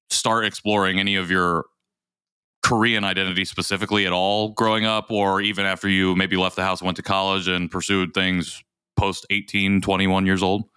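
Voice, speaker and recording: A man's voice: fairly low pitch, pushed and bright, smooth, no room sound. A man hosting a podcast, talking in a reflective, supportive way in an earnest conversational interview. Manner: reflective, supportive, frequent "like" filler. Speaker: podcast host.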